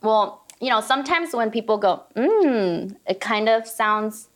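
A young woman with a high-pitched voice, chatting casually and giggling as a guest, squealing high when excited. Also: measured pace. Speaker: guest eating-video creator. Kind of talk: casual chat while eating on stream.

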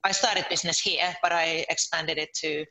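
Bright-voiced woman, a little low. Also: steady tone, normal speed, a little hiss or hum, poor audio. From Finland. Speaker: podcast guest, entrepreneur. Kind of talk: conversational interview.

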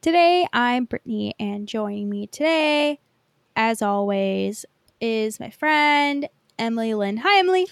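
A woman's voice: fairly high pitch, expressive, measured pace, gravelly, smooth, no echo, clean studio sound. An American woman hosting a podcast in a casual, conversational way, often saying "like" as she goes. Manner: informal and conversational, peppered with "like". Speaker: podcast host.

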